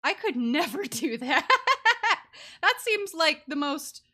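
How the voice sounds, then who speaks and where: A woman streaming a video game with casual live commentary, giggly and excitable in her reactions. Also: sits high, takes it slowly.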